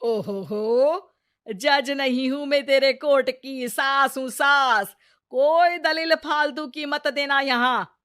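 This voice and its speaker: A woman voicing a sharp-tongued, nagging mother-in-law in a Hindi cartoon story, theatrical and taunting. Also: sits high, steady tone, slow. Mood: scolding.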